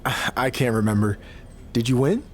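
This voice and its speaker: A man plays a guarded, laconic wrestler in a video game story. His voice sits at a medium pitch and his replies are terse and noncommittal. Clear noise runs behind him. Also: moderate pace, close to an everyday voice. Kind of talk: video game cutscene acting.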